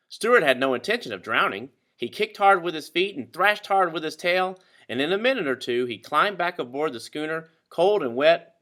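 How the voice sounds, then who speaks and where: Male children's story narrator, dramatic and animated, bright tone, slightly high register. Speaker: storybook reader.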